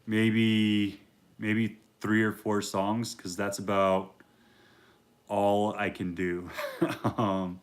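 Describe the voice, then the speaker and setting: A man with a deep voice chatting casually to his viewers in a relaxed, rambling way with plenty of fillers. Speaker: reptile vlogger. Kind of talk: casual talk to camera.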